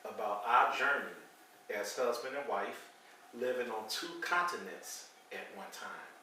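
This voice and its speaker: A middle-aged American man from St. Louis tells stories in an easygoing way, pausing on fillers as he talks. His voice is bright and forceful. It sounds echoey, and he is a little away from the mic. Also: mid-range voice, lively intonation, slight background noise. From St. Louis, Missouri, USA. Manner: conversational storytelling, frequent fillers. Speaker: channel host. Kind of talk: casual chat. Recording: echoey room, mic at a distance.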